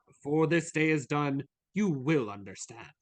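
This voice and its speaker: A man's voice: a little high, animated, moderate pace, no echo, natural voice. A man playing a jolly, kindly Christmas spirit in a live dramatic reading, hearty and festive. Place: remote video call at home. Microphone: video call microphone.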